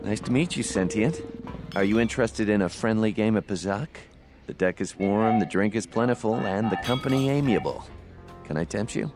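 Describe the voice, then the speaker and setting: Affable barfly card player male actor, game dialogue, lowish pitch, colourful talker, music underneath. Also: moderate pace, close to an everyday voice. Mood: inviting. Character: amiable, hard-drinking cantina card player. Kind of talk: video game character dialogue.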